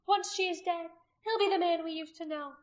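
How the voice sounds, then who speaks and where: A woman's voice: very high-pitched, normal speed, pushed and bright, reverberant, voice pushed up, full character voice. A woman narrator playing a cruel, commanding pagan priestess in an audiobook story, accusing and imperious. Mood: fanatical triumph.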